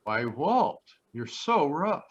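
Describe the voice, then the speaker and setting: A man playing a flippant, flirtatious private detective in 1940s radio style, with smooth-talking wisecracks. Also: on the high side, big pitch swings, slow pace, heavily acted. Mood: teasing. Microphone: video call. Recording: dull, lo-fi video call audio.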